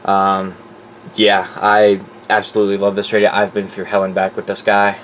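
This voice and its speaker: A man with a fairly low voice talks as a hobby collector showing his things. His delivery is informal and unscripted, with loose, salty language. A faint steady noise sits behind him. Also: moderate pace, low-quality recording. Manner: informal, profane. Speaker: collector presenting his weather radio collection. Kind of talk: casual off-the-cuff show-and-tell.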